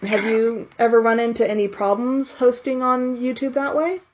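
A woman's voice: on the high side, flat delivery, normal speed, a little mellow, dull audio, slight background noise. A woman speaking as a podcast interviewer in a casual style.

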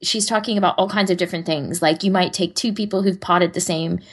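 A woman's voice: lowish voice, steady tone, brisk, breathy edge. A woman co-hosting a podcast in casual chat, informal and encouraging. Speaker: podcast co-host.

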